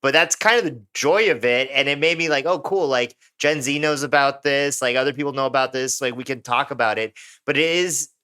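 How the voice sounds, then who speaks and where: A man's voice: mid-range voice, fairly quick, hardly any pauses, bright, forceful tone, clear, resonant tone. A young American man, co-hosting a podcast in casual, rambling chat full of filler words. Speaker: podcast co-host.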